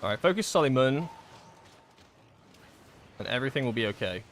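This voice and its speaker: A young man giving casual livestream commentary while gaming, chatty and self-deprecating with excitable outbursts, his voice going hoarse. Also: mid-range voice, fairly quick, a little hiss or hum. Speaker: gaming streamer.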